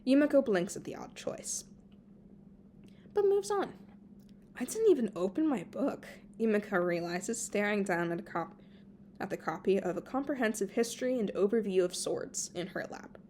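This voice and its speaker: Woman reading a fanfic out loud, mid pitch, trips on words n fixes them, bit of background noise. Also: sing-song, normal speed. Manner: stumbles over words and corrects herself. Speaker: amateur podfic reader. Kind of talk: reading fan fiction aloud.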